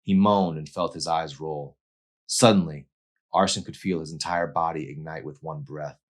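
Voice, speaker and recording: Super deep voice guy doing intense dramatic audiobook narration. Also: fairly even intonation, normal speed. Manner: intense, theatrical. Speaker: author reading his novel aloud.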